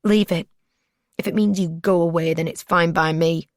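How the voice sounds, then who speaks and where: A woman voicing a young woman held captive, who shouts and screams in high, shrill bursts. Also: animated, moderate pace, no room sound, studio quality. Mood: screaming rage.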